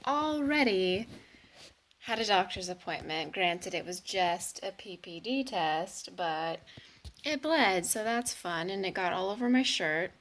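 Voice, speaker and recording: A woman's voice: medium pitch, animated, unhurried, a bit bright, slight background noise. A young woman from the Pacific Northwest, chatting casually and candidly to the camera in a vlog, with self-mocking asides. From Washington State, USA. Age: young adult. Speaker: vlogger.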